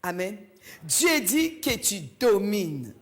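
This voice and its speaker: Slow, emphatic woman preaching. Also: medium pitch, very animated. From Belgium. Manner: emphatic, repeats key phrases. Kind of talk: sermon. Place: church.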